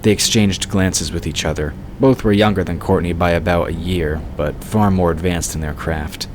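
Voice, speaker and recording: A man's voice: deep voice, fairly even intonation, normal speed. A man narrating a fantasy story from a script, as the narrator of an audio drama. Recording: clear background noise and ambience.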